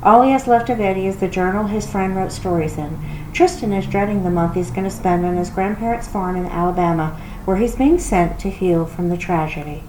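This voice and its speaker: A woman giving an expressive storybook read-aloud, like a children's library reader. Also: medium pitch, fairly quick. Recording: roomy echo with clear background noise.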